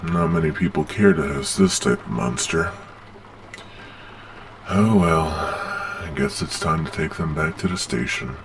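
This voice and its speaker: A man's voice: a bass voice, animated, unhurried, vocal fry, background noise, full character voice. A man playing a weary, matter-of-fact monster agent with a businesslike manner in an ASMR roleplay. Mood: weary, let down.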